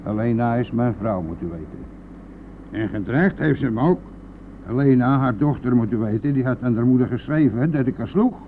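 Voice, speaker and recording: A man's voice: medium pitch, animated, a little fast, natural voice. An actor playing a chatty, coarse, self-pitying, hard-drinking bus driver who needles his passenger in a colloquial radio-drama style. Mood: rambling, self-pitying. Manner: garrulous, jovial, needling, colloquial. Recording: tinny, band-limited, noisy old radio-play recording.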